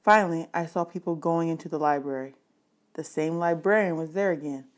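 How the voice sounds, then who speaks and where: A woman's voice: deep voice, fairly quick. A woman reading a children's novel aloud as audiobook narration, in the manner of a teacher.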